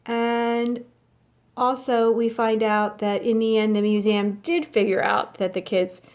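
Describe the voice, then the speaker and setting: A woman's voice: on the high side, flat delivery, measured pace, a little mellow. Woman teacher introducing a read-aloud. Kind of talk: classroom read-aloud, casual explanation.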